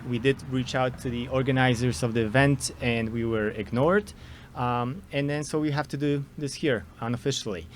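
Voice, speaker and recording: A man's voice: mid-range voice, moderate pace, slight background noise. A man from Poland with a Polish accent, introducing himself as a candidate at an informal outdoor debate, hesitant and full of fillers and restarts. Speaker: political candidate.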